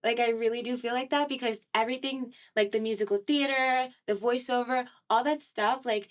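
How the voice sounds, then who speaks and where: A woman's voice: fairly high pitch, steady tone, brisk. A young woman from Miami, a singer guest on a radio show, talking in a chatty, casual way with lots of "um" and "like" and frequent laughter. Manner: chatty, laughs often, many fillers like "um" and "like". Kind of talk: casual conversational interview. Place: radio studio.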